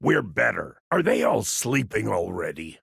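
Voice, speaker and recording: An actor playing a cocky, folksy old cyborg gunslinger in a video game, with a gruff, gravelly voice and a wry drawl. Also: male voice, on the low side, big pitch swings, a little slow, fairly bright tone, no echo, clean studio sound, heavily acted. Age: older. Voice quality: gravelly, raspy. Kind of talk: video game character voice lines.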